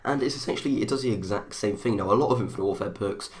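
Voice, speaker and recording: A young man with a bunged-up, runny nose, talking through a rushed gaming video in a fast, rambling way. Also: on the low side. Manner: fast, rambling, thinks aloud.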